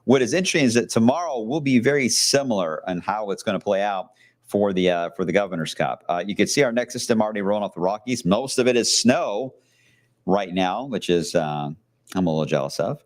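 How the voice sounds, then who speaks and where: A man's voice: lowish voice, lively intonation, brisk. A man giving a live TV weather forecast from a shopping outlet, in a loose, chatty way with fillers and asides. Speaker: TV meteorologist.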